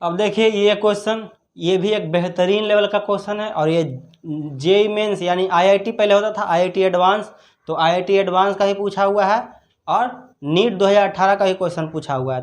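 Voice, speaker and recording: A man with a noticeably high voice gives a step-by-step lesson. He speaks as a teacher, instructing and repeating each point so students can follow. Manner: repetitive, instructive. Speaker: chemistry teacher. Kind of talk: step-by-step exam problem lesson.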